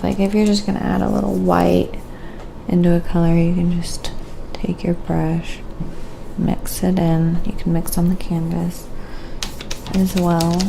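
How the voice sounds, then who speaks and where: A woman hosting a casual live painting stream, talking quietly to viewers and thinking aloud step by step as she works. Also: fairly low pitch, creaky, slight background noise, poor audio.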